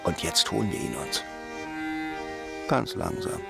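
A man playing a brooding, intuitive police detective in a radio crime play, explaining deliberately and insistently. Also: low voice, very animated, creaky, loud music behind the voice, exaggerated voice. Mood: resolute. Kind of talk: naturalistic radio drama dialogue.

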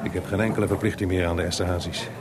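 An actor playing a kindly, calm older composer in a radio drama, speaking in a measured way. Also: male voice, sits low, background noise, close to an everyday voice. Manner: measured, reassuring. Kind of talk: Dutch radio drama acting. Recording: radio play with crowd murmur.

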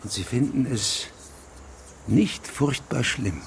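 An actor playing a stern, insistent judge who questions formally and with authority in a radio play. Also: male voice, medium pitch, takes it slowly, creaky, a little hiss or hum. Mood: probing. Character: stern, insistent male judge investigating a case. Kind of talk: German radio drama dialogue.

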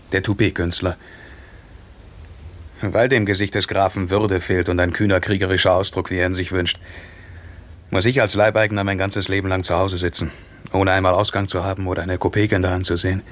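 An actor playing a quietly proud, resigned serf hairdresser in love, giving a measured monologue in a 1950s radio play. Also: male voice, deep voice, natural voice.

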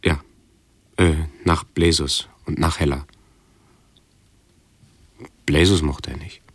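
An actor playing a brooding, hypochondriac intellectual with pedantic, ornate diction in a radio drama. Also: male voice, rumbling low, steady tone, slow, no room sound, exaggerated voice. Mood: brooding, insistent. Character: brooding, hypochondriac intellectual man tormented by his body. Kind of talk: 1970s German radio drama acting.

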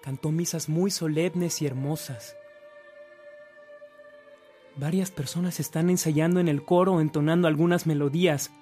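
A man's voice: a little high, fairly even intonation, unhurried, long pauses, mellow. A man narrating a radio drama from a script, as a storyteller. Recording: background music under the voice.

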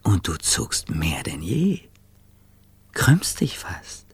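An actor playing a wry, fatalistic male narrator in a radio play, speaking in a gravelly, deliberate, ominous way over faint background noise. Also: low voice, huge pitch swings, no echo, whispered, exaggerated voice.

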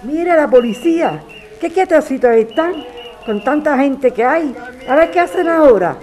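Woman doing calm spanish activist neighbour in audio drama, encouraging, noisy background. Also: high-pitched, moderate pace. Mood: reassuring. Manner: reassuring, matter-of-fact. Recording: clearly noisy ambience.

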